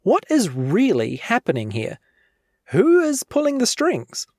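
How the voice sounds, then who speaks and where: A woman's voice: a little high, huge pitch swings, measured pace, warm tone, no room sound, clean studio sound. A woman presenting a scripted podcast introduction with playful, dramatic emphasis.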